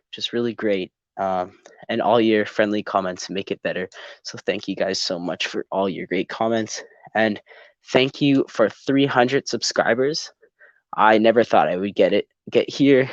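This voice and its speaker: A man's voice: lowish voice, dry recording. Congested teen guy, lo-fi live stream. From Canada. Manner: rambling, lots of ums and restarts, friendly. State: congested, a bit sick. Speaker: YouTube channel host. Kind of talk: casual live-stream chat while building.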